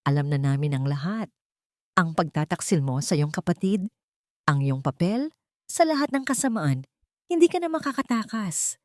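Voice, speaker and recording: A woman's voice: lowish voice, normal speed, long pauses, dry recording, studio quality. A woman with a Filipino accent voicing a firm, earnest, devoted man in an audiobook. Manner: steady, earnest. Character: firm, devoted man, repentant loving father.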